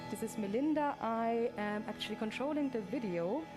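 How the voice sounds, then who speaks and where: A woman's voice: on the high side, animated, normal speed. A woman giving an informal live demo to an audience, addressing them directly as a presenter. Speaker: presenter demonstrating immersive videos. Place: immersive presentation space with an audience. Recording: loud music behind the voice. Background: music from demo video.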